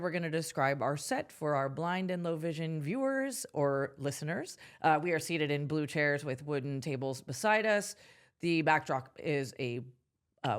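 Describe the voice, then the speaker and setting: Casting: female podcast host, playful and folksy, lowish voice that swings widely in pitch. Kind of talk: casual, chatty interview.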